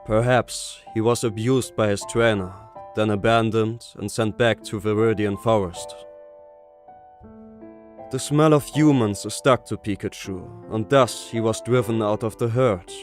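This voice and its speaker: An actor playing a calm, learned older male professor in an anime-style dub, explaining things in a measured way. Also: on the low side, soft background music, close to an everyday voice. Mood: grave, reassuring.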